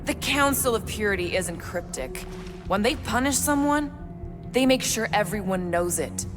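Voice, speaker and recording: An actress playing a tough female alien warrior in a video game, measured, dry and matter-of-fact. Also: mid-range voice, animated, over music, natural voice. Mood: cool, serious. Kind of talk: video game character acting.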